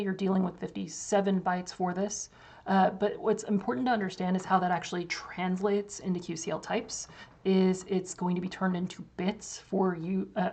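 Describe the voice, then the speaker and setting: Gravelly, hoarse woman explaining code. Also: lowish voice, darkish tone. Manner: casual, loose, many fillers and restarts. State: losing her voice. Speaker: developer demoing software. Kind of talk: screen-share tech walkthrough.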